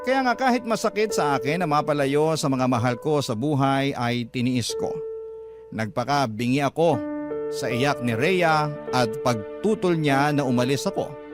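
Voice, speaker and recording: A man's voice: mid-range voice, lively intonation, moderate pace. A man narrating a scripted first-person story as a radio storyteller. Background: music bed.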